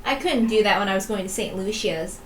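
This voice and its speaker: A woman's voice: medium pitch, fairly quick, close to an everyday voice. A woman voicing a brash, teasing, independent young twin sister from a visual novel. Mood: casual, bored. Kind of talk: visual novel character reading. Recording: roomy, distant mic with faint noise.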